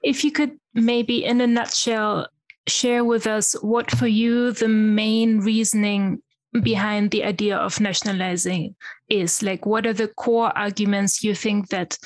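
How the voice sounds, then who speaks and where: Slow, even-toned woman interviewer. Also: mid-range voice, dry recording. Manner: measured, polite questioning. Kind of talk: interview question.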